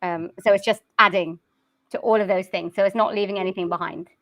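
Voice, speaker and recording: A woman talks as an interview guest, chatty and quick to giggle. Her voice is recorded very dry and close. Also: medium pitch, steady tone, fairly bright tone, no echo. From South Wales. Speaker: guest singer and voice-over artist. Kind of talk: casual interview.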